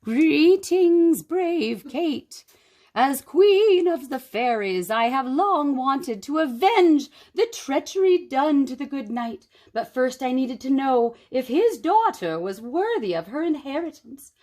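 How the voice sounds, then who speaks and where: Woman as a high, regal fairy queen. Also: very animated, slow, darkish tone, full character voice. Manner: formal, proclaiming. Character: stately, gracious fairy queen.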